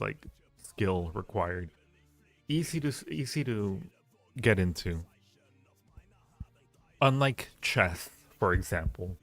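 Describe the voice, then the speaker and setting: A man hosting a casual livestream, teasing and rambling playfully as he ranks things and repeats his phrases. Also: lowish voice. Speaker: livestream host. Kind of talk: casual livestream chat and ranking commentary.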